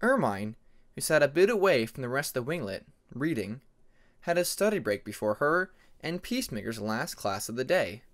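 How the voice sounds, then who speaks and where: A man's voice: mid-range voice, very animated, normal speed, fairly bright tone. A man narrating a fantasy story as an amateur audiobook reader, in a dramatic scripted style.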